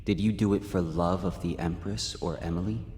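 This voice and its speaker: A man's voice: low voice, fairly even intonation, normal speed, a little mellow, close to an everyday voice. A man voicing a detached, mocking supernatural being in a video game, with cryptic, taunting questions and remarks. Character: detached, mocking supernatural male trickster god. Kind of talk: dramatic video game monologue. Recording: long reverb, faint noise.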